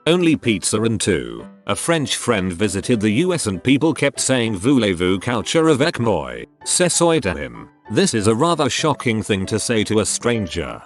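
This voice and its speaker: A man with a low voice reads out short anecdotes one after another in an even narrating style. Soft background music plays under his voice throughout. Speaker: narrator reading online stories. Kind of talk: scripted narration. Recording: faint music bed behind voice.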